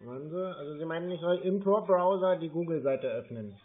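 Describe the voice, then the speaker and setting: A man chatting casually and hesitantly, rambling and slow to get to the point. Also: a little high. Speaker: scam-baiting YouTuber playing a naive customer on the phone. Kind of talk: casual phone chat. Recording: narrow, tinny phone-like sound.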